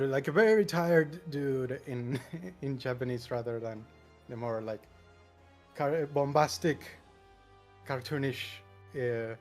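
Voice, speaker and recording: A man from Argentina with an Argentine accent, chatting casually on a panel, hesitant with many fillers and small laughs. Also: mid-range voice. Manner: hesitant, many uhs, laughs between phrases. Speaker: podcast panelist. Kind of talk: casual group chat.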